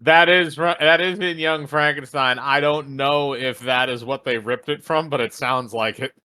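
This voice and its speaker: A man's voice: medium pitch, steady tone, normal speed, pushed and bright, clear, resonant tone, no echo, studio quality. A man chatting casually over a game who laughs easily and keeps asking for a second while he looks things up. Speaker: let's play co-host. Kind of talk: casual gaming chat.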